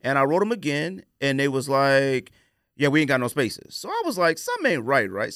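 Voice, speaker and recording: A man's voice: on the high side, a bit bright, dry recording, studio quality. A man hosting a podcast, telling stories in a casual, colloquial, opinionated way and acting out quoted exchanges. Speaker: podcast host.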